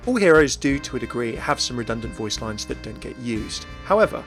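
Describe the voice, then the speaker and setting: A man presents a gaming video in a chatty, keen way. He talks fast with lively, moving pitch, over background music. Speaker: video host. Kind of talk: scripted video commentary on a game.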